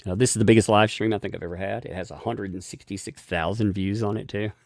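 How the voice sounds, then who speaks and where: A man hosting a casual live stream, thanking viewers for donations and rambling with frequent restarts and chuckles; he has a gravelly voice. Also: lowish voice, steady tone, fast talker, no echo, clean studio sound.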